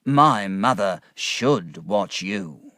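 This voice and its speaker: A man's voice: fairly low pitch, animated, extremely slow, sharp tone, dry recording, clean studio sound, full character voice. A man putting on an oily, scheming young schemer. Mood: sly.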